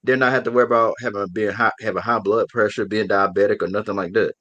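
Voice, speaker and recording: A man's voice: on the low side, fast, no room sound, lo-fi sound. A young adult man co-hosting an interview, talking earnestly with many restarts. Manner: earnest, animated, trailing restarts. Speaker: co-host. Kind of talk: casual interview chat.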